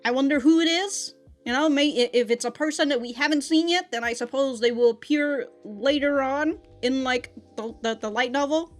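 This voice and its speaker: A woman's voice: high voice, moderate pace, faint music behind. A woman giving a chatty, rambling review as a reaction host, with casual slang and swearing. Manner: profane, slangy, laughs often while talking.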